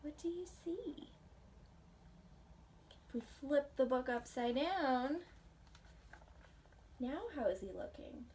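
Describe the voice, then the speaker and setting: A woman reading a picture book aloud to children and chatting about it in a gentle, expressive, questioning way. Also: high voice, slow pace, long pauses. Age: adult. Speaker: children's storybook reader, teacher. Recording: distant mic with faint background noise.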